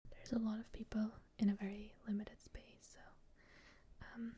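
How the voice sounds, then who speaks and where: A woman whispering while she plays and describes an online game, shy and a little rambling, with frequent soft laughs. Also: mid-range voice, level tone, normal speed. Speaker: gamer.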